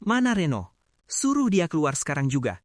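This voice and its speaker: A man's voice: on the high side, huge pitch swings, moderate pace, no room sound, clean studio sound. A man playing a stern neighbourhood chief in a cartoon drama, authoritative and admonishing. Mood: stern, accusing.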